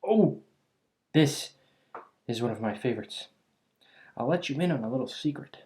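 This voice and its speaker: A voice actor playing a clumsy, cheerfully eccentric alchemist shopkeeper in an ASMR roleplay, chatty and absent-minded, halting and trailing off. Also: mid-range voice, expressive, dark tone, lightly acted. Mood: conspiratorial. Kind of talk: ASMR roleplay, scripted character acting.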